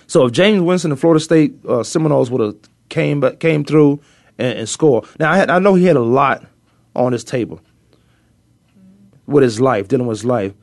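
A man with a Virginia accent talks sports in a loose, animated back-and-forth. His voice sits at an ordinary middle pitch and sounds dry and close, with no room around it. He presses his points hard and doubles back on words as he gets worked up. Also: brisk, no echo. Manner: animated, emphatic, repeats himself when excited. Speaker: sports talk host. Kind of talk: casual sports debate.

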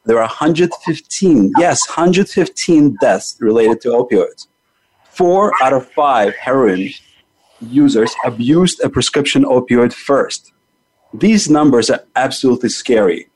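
A man's voice: mid-range voice, a little mellow, breathy edge, rough recording. A man hosting a health talk show, reading a scripted introduction and stressing key numbers by repeating them. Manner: emphatic, repeats key figures and words for stress. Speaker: host.

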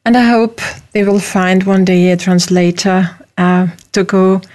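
A woman's voice: medium pitch, flat delivery, measured pace, darkish tone. A woman from Austria, a native German speaker with an Austrian German accent, hosting a radio talk show in careful, hesitant English.

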